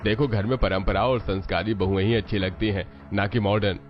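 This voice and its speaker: A man's voice: fairly low pitch, steady tone, a little fast, lo-fi sound, soft background music, close to an everyday voice. A man voicing a principled, traditional middle-aged father-in-law in a cartoon story. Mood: reproving.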